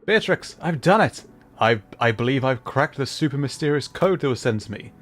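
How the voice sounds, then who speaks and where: A man voicing an excitable, self-important scientist in a video game, with pompous, theatrical delivery. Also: medium pitch, normal speed, lightly acted. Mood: proud, amazed. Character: excitable, self-important male scientist reading out his message. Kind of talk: in-game dialogue read aloud.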